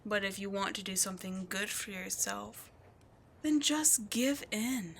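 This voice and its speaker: Woman voicing a seductive demon queen, unhurried taunting, breathy whispers, mid-height voice, faint ambience behind. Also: mid-range voice, steady tone, slight background noise. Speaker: voice actor in audio drama. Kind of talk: audio roleplay drama.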